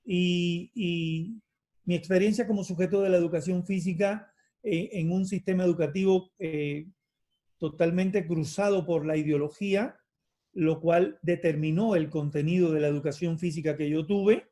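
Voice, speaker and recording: A man in his fifties gives a measured academic talk on his field. His voice sits a bit high yet sounds mellow and soft, and he pauses often, restarting phrases with frequent fillers. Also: fairly even intonation. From Cuba. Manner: hesitant, many fillers and restarts; measured and reflective. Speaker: university lecturer in physical education.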